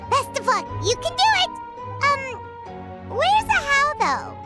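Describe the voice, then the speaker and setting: A woman voicing a small, excitable, childlike floating companion in a video game, with a squeaky voice and a perky, earnest manner. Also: a little slow, heavily acted. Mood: curious, chirpy. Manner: perky, refers to herself in third person. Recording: loud music under the voice.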